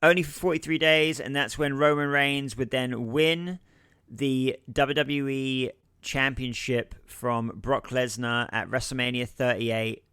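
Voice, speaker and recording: A hoarse man chats casually on a podcast, recounting dates and names with the odd curse. His voice stays smooth and resonant despite the strain, and the sound is close and dry. Mid-pitched and relaxed. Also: measured pace, no echo. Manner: casual, profane, recounting title history. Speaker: podcast co-host. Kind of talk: casual wrestling podcast chat.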